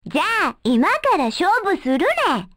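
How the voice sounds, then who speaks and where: A woman's voice: sits high, very animated, a little slow, fairly bright tone, full character voice. A woman voicing a small, blunt young girl fighter in an anime video game, with a mock Chinese accent and pushy, broken phrasing. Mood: blunt, scheming.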